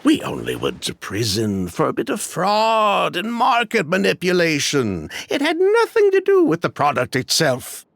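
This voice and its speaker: A man's voice: high-pitched, huge pitch swings, moderate pace, vocal fry, hardly any pauses, a bit bright, no echo, heavily acted. Casting: slick middle-aged con man for a game, very sing-song delivery, evasive and chatty, dry booth sound. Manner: evasive, chatty, with dismissive laughs. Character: smooth middle-aged male ex-perfume swindler. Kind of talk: video game voice acting.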